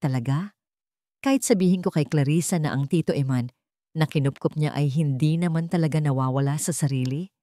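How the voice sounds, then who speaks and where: A woman's voice: deep voice, brisk, dark tone, dry recording, studio quality, lightly acted. A woman voicing a cold, threatening scorned fiancée in a story, commanding and taunting.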